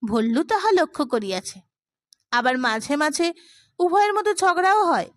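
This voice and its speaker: High-voiced woman reading a story. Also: big pitch swings, normal speed, dry recording, studio quality. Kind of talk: scripted audiobook narration of literary prose.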